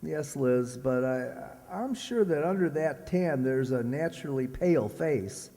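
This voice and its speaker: An actor playing a vain, jealous, hesitant husband in an old-style radio comedy. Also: male voice, mid-range voice, animated, unhurried, dark tone. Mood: defensive. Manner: boastful, hesitant, stammering. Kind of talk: old radio sitcom acting. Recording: long hall reverb.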